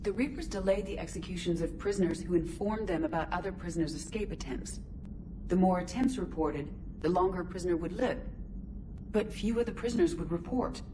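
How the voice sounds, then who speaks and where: An actress playing a female starship AI who talks in an even, formal, clipped way. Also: mid-range voice, noisy background, natural voice. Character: female ship AI, calm and precise.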